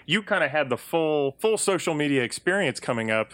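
A man's voice: a little high, lively intonation, a little fast, a bit bright. A man co-hosting a podcast, asking questions in a loose, joking, rambling way. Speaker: podcast co-host. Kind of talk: casual podcast interview.

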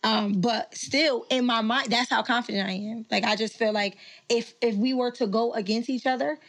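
A woman's voice: on the high side, steady tone, normal speed, fairly bright tone. A woman poet talking casually and confidently, rambling with many restarts as she explains her views. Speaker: poet and battle performer. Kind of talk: casual podcast interview.

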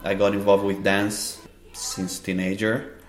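A man with a Brazilian Portuguese accent, answering interview questions in a halting way as he searches for words. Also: lowish voice, little pitch movement, roomy sound. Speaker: dance teacher and festival organizer.

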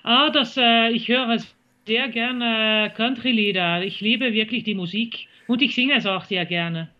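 A woman from Belgium with a Belgian accent, answering interview questions as a country singer, pausing often to search for words. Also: on the high side, dull audio. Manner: halting, searches for German words with many fillers.

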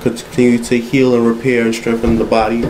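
A male podcast host speaks calmly as he asks questions and wraps up the show. His voice stays level and flat, at a middle pitch. Faint noise sits underneath. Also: fairly quick, rough recording. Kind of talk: casual podcast talk.

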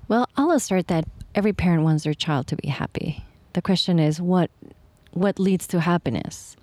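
A woman from Ecuador co-hosts a podcast with a low, mellow voice. She speaks thoughtfully and restarts her phrases now and then. The recording is dry and close. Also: moderate pace, no room sound. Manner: thoughtful, with false starts and frequent agreement. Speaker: podcast co-host. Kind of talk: casual podcast conversation.

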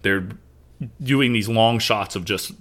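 A man's voice: fairly low pitch, slow pace, a bit bright. A man co-hosting a podcast, chatty and analytical, joking while he discusses a movie. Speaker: podcast co-host.

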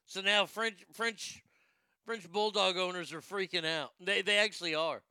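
A man's voice: high-pitched, normal speed, pushed and bright, clean tone, no room sound. A man giving comedic news commentary, sarcastic and profane, who often breaks into laughter. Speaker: commentary host.

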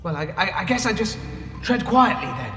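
A man's voice: sits high, sing-song, moderate pace, fairly bright tone, faint music behind. A man playing an anxious, bumbling, sarcastic reluctant hero in a comedy fantasy audio drama, hesitant and flustered. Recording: echoey reverberant space with clear noise.

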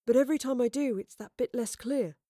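A woman's voice: fairly high pitch, fairly quick, warm tone, no room sound, voice pushed up, lightly acted. An earnest young man, a soldier of ancient times, played by a woman narrator. He speaks in a searching, questioning way, as in a storybook. The recording is dry. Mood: puzzled.

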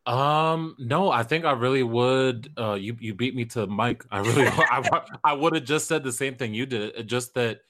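A man's voice: medium pitch, moderate pace, hardly any pauses, a bit bright. A man from Kenya co-hosting a casual podcast conversation, talking thoughtfully with many fillers and restarts. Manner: reflective, frequent fillers and restarts. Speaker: podcast co-host.

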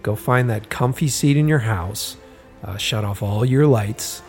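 Male podcast host, hoarse and raspy, loose chatty delivery full of ums. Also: lowish voice, unhurried, darkish tone, soft background music. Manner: rambling, lots of ums and uhs. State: hoarse from a busy week. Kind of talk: casual podcast talk.

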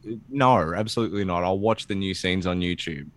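A man co-hosting a podcast in casual, joking banter, sarcastic, blunt and quick to swear. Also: sits low, a bit bright. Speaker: podcast co-host.

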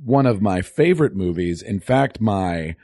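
A man hosting a podcast in casual chat, his voice hoarse, wry and self-deprecating. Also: on the low side, lively intonation, a little slow, warm tone, dry recording, studio quality. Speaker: podcast host.

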